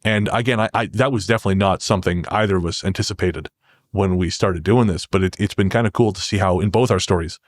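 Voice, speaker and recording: A man from Canada speaks as an interview guest. His voice is on the low side and sounds dry and close. He talks reflectively, restarting phrases as he works through a thought. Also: fast talker, no room sound. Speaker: podcast guest, horror fiction creator. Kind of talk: conversational interview talk.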